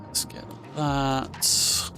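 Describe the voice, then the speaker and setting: A man's voice: mid-range voice, fairly even intonation, extremely slow, gravelly, music in the background. A man commentating his own strategy game in a casual style, thinking aloud with frequent ums and restarts and sudden surprised outbursts. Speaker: gaming commentator.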